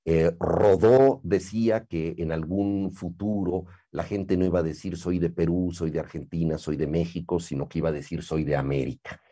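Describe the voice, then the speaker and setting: A middle-aged man with a Mexican accent interviewing a guest, articulate and measured. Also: deep voice, fairly even intonation, mellow. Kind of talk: cultured interview conversation. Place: living room with bookshelves, seated.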